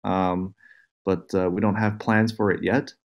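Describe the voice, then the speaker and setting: A man's voice: low voice, little pitch movement, a little slow, gravelly, dull audio. An easygoing man reading out questions and chatting casually as interviewer. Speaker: interviewer reading fan questions, team executive. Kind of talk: casual Q&A chat.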